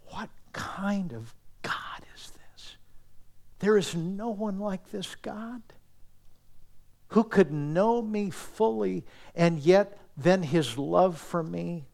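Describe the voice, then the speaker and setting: A man preaching a sermon in an earnest, intimate way, dropping to soft, near-whispered asides. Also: fairly high pitch, gravelly. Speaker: pastor.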